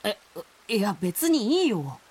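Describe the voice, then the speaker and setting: A young village boy in a radio drama, acted by a man, with a raised, lively voice. He is reserved and hesitant, muttering and grumbling in short phrases. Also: high-pitched, slow, husky, voice pushed up, exaggerated voice. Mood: wary, hesitant.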